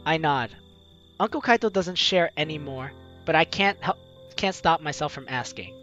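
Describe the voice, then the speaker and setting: A man's voice: a little high, expressive, normal speed, sharp tone, lo-fi sound, faint music behind. A man hosting a game playthrough, reading narration and dialogue aloud expressively, with casual asides. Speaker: host of a video game playthrough.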